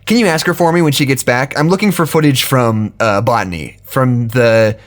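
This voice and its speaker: A man's voice: mid-range voice, moderate pace, natural voice. A man playing an awkward, nerdy male researcher in an audio drama, hesitant and stammering. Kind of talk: naturalistic audio drama dialogue.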